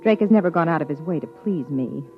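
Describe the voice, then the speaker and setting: An actress playing an uneasy, introspective wife narrating a 1950s radio drama. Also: female voice, low voice, expressive. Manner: introspective, measured. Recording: tinny old radio broadcast with music.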